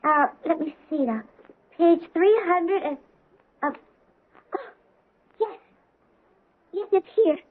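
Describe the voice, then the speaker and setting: A woman's voice: extremely high pitch, lively intonation, takes it slowly, long pauses, full character voice. An actress playing a young bride-to-be in a 1970s radio drama, dreamy and sweet, with a girlish, earnest manner. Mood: searching. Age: young adult. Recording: thin lo-fi old radio broadcast.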